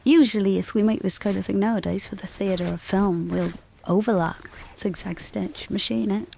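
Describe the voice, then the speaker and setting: An actress playing a friendly, geeky costume seamstress who talks in a chatty, informal, slightly hesitant way in an audio drama. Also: female voice, medium pitch, steady tone, normal speed, vocal fry, mellow, slight background noise, lightly acted. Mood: absorbed. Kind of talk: naturalistic audio drama acting.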